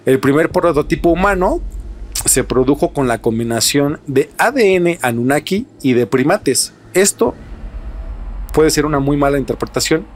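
Higher-pitched Mexican man explaining on a podcast. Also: expressive, normal speed. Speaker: podcast host.

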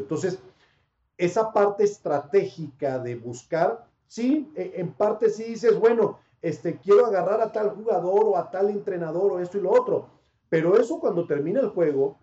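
A man working as a sports reporter tells stories with animated, expansive energy. His voice sits high but has a mellow, soft tone. The sound is dull and echoes as if in a roomy space. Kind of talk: interview answers, anecdote telling.

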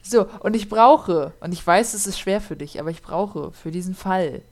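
A woman's voice: mid-range voice, moderate pace, darkish tone. A woman, co-hosting a casual podcast and narrating a true crime case, chatty with lots of fillers. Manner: chatty, laughs often, many fillers.

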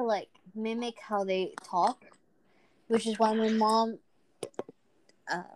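A woman's voice: fairly high pitch, a bit bright, slight background noise, rough recording. A woman from Venezuela, a native Spanish speaker with a Venezuelan accent, chatting casually and telling stories full of "like" fillers. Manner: chatty storyteller, lots of fillers. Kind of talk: casual chat.

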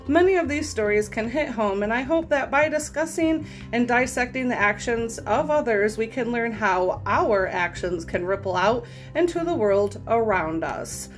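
A woman hosting a podcast, reading online posts aloud cold and then giving her frank take on them. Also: fairly high pitch. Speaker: podcast host.

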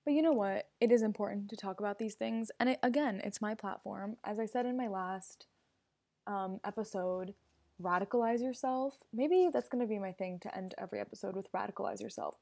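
A woman's voice: medium pitch, a little fast, creaky, darkish tone. A young woman hosting a solo podcast, talking in an earnest, opinionated way that often wanders off on tangents. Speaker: podcast host. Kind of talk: casual solo podcast monologue.

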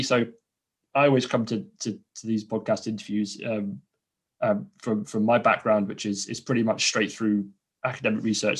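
A man's voice: fairly low pitch, breathy edge. A man, hosting a casual podcast interview and rambling through restarts and fillers. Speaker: podcast host.

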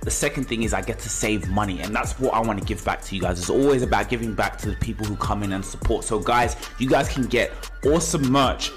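A lowish-voiced young man you would hear mid-video, selling merch in slang to his followers over soft music. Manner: slangy, direct address to viewers. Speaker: online video host reading a sponsor spot. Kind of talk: casual sponsor pitch.

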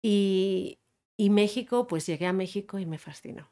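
A woman from Spain chatting as an interview guest, telling animated stories and quoting other people. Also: medium pitch, moderate pace. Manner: animated storyteller, quotes others, laughs often. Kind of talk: casual interview chat.

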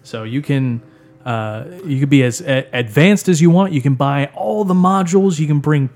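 A male co-host with a blunt, laid-back delivery. He gives plain advice to new players in a casual, unhurried chat. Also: on the high side, darkish tone. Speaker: podcast co-host.

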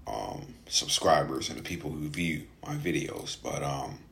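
A man who stutters, speaking casually in a halting personal monologue with frequent gaps and hesitations, as a podcast host. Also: rumbling low, lively intonation, gravelly, a bit bright.

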